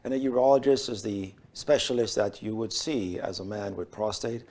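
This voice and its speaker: A middle-aged man from Switzerland, a urologist guest on a TV health show, speaking in a measured, formal way with frequent fillers. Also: lowish voice, steady tone, a little mellow. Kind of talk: TV health interview. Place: bright TV studio set.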